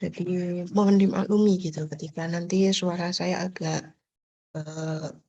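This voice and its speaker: A woman's voice: lowish voice, fairly even intonation, unhurried, mellow, lo-fi sound. A woman lecturer with an Indonesian accent, nasal and congested from a cold, chatting casually through an online class with many fillers and tag questions over a video call.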